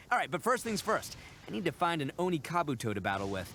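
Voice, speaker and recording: A man's voice: fairly high pitch, wildly swooping pitch, normal speed, fairly bright tone, slight background noise, heavily acted. A man voicing a boastful, boisterous young oni in a video game, with cocky, slangy, theatrical delivery and childlike enthusiasm. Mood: cocky, playful. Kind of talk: animated video game voice acting.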